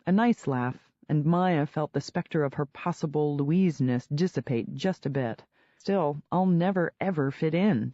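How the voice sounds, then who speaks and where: A man narrating a novel as an audiobook, in a steady read-aloud storytelling manner. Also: on the high side, no echo. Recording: dull, lo-fi dry sound.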